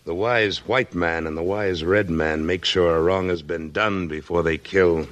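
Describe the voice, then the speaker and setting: An American actor playing a steady, commanding frontier hero in a 1950s radio western, firm and deliberate. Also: male voice, low voice. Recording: old radio recording with slight noise.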